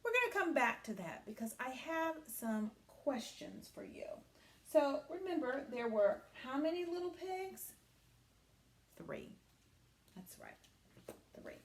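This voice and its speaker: Female early-years teacher, high voice with very lively intonation, patient question-and-pause delivery. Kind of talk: read-aloud and question lesson for young children.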